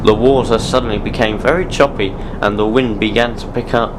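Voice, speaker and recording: A man's voice: fairly low pitch, steady tone, brisk, noisy background. A man narrating a scripted adventure story for an amateur audio drama.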